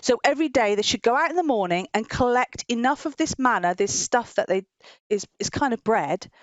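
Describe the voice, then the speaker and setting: A woman's voice: on the high side, animated, fairly quick, no echo, dull audio. A woman presenting a children's Bible story informally, explaining in simple phrases and often repeating herself. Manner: simple explanatory phrasing with frequent repetition and chuckles.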